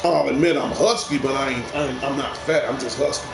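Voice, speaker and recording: A man's voice: medium pitch, a little fast. A man hosting a live game stream, rambling and repeating himself as he talks to viewers. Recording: echoey room with clear background noise.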